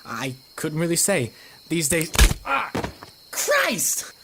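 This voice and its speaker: A man's voice: on the high side, wildly swooping pitch, slow pace, heavy background noise, full character voice. A man playing a cornered small-time racketeer in a noir radio drama, talking in a grudging, defensive, streetwise way. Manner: defensive, hesitant streetwise talk.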